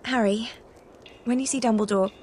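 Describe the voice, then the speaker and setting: Actress as high-voiced sensible English schoolgirl witch. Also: steady tone, normal speed, darkish tone, a little hiss or hum, natural voice. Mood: reasoning, admonishing. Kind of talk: video game voice acting.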